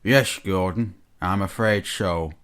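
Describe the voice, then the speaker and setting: A man's voice: on the low side, very animated, takes it slowly. A man voicing a kindly, authoritative male railway controller in a storybook, speaking sympathetically. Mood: sympathetic.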